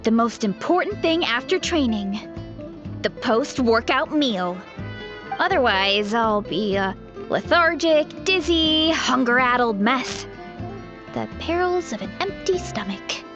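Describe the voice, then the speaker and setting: Casting: sporty young tribe girl for a game, high-pitched, very animated, breezy and friendly, music underneath. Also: slow, dull audio. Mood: hungry, playful. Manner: breezy, casual, dramatic about small things. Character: energetic athletic young tribeswoman, friendly, food-loving, laid-back yet headlong. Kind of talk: video game voice acting.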